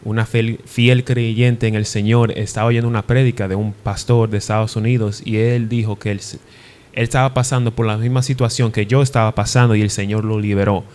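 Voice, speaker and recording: A man's voice: lowish voice, level tone, brisk, a little mellow, clear, resonant tone. A young man from the Dominican Republic, a guest preacher giving his testimony in an interview, earnest and hesitant with restarts. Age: young adult.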